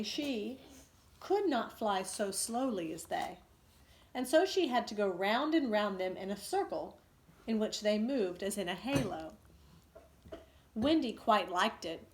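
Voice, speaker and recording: A woman's voice: medium pitch, a little hiss or hum, poor audio. A woman teacher narrating a storybook aloud for students, expressively, with asides and questions to the children. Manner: expressive, pausing to ask questions.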